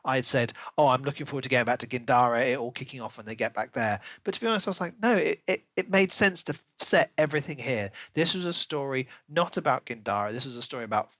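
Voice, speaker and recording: A man co-hosting a casual book podcast, giving opinions in an animated, emphatic way with sarcastic asides. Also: medium pitch, fast, hardly any pauses, dry recording. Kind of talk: casual book review chat.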